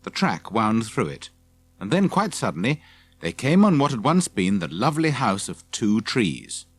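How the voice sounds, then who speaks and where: A man's voice: on the low side, very animated, normal speed, slightly rough. A man reading scripted children's story narration as an audiobook narrator.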